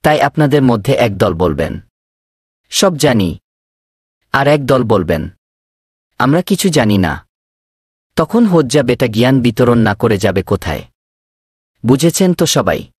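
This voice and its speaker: A man playing an earnest, scheming villager in a cartoon, with an expressive, persuasive way of talking. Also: medium pitch, a little slow, pauses often, breathy edge, no echo, studio quality. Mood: scheming, instructive. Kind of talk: animated cartoon dialogue.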